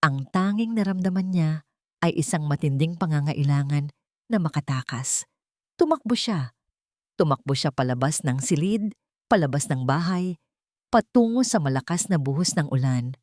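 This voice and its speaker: A woman with a fairly low voice tells a scripted romantic drama. She speaks in measured, solemn phrases, and the recording is dry and close. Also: animated, darkish tone, no room sound. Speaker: story narrator.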